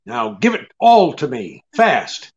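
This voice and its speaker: An actor playing a tough, shrewd private eye in old-time radio drama style, clipped and hard-boiled. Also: male voice, high voice, very animated, slow, vocal fry, dull audio, heavily acted. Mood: hard, accusing.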